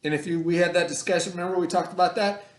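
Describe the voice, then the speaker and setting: Guy teacher talking to little kids, kinda high voice, lots of check-in questions, roomy echo. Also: steady tone, very fast. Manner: conversational, checks understanding with questions and repetition. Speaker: elementary school teacher. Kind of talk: read-aloud lesson for children, casual explaining. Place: indoor room, remote video lesson. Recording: echoey room.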